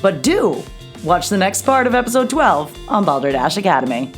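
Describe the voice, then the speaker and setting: A woman's voice: medium pitch, big pitch swings, normal speed, faint music behind. A woman presenting a show promo in a playful style.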